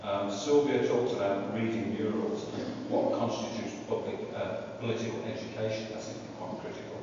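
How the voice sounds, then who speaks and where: A man with a lowish voice gives his thoughts on a panel, reflective and hesitant, often restarting sentences. He is caught from far back in a big echoey meeting room. The sound is dull and carries steady noise. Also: brisk. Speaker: panel speaker, university professor. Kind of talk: unscripted panel talk. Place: large meeting room with audience. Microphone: far room camera. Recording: echoey hall, distant, dull, noisy.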